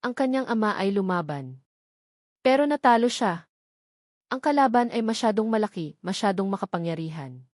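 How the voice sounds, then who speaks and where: A woman's voice: mid-range voice, animated, normal speed, long pauses, a little mellow, no room sound, studio quality. A woman narrating a scripted love story in a solemn, suspenseful, dramatic manner.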